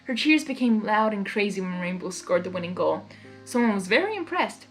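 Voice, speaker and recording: A woman reading fan fiction aloud in an expressive, giggly way, narrating and reacting as she goes. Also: mid-range voice, a little fast, soft background music.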